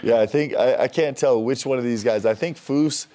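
A man's voice: mid-range voice, mellow. A man who is a basketball coach, talking about his player in an enthusiastic, articulate way. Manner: enthusiastic, articulate, speaks fast when praising. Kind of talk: casual interview chat.

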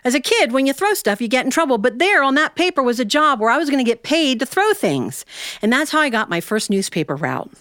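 An American woman telling a humorous personal tale as a storyteller, in a folksy, animated manner with dramatic pauses. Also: sits high, no echo, clean studio sound. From Ohio, United States.

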